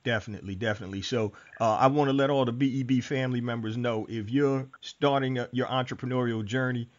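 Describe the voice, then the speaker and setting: A man's voice: medium pitch, steady tone, quick pace, dull audio. A middle-aged man hosting a business podcast, easygoing and upbeat as he hypes up his listeners and interviews a guest. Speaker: podcast host.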